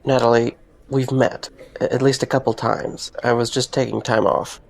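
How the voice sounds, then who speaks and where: A man acts out a weary male security guard in an audio drama. His voice has a gravelly fry and a mid pitch, with dry, sardonic delivery that can turn hesitant. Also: flat delivery, normal speed, natural voice. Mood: dry, wry.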